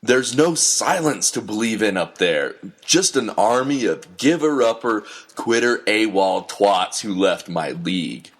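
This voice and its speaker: A man acting a slow, bitter, low-voiced cynic. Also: expressive. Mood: scornful. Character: bitter, scornful man, contemptuous of quitters. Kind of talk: audio drama acting.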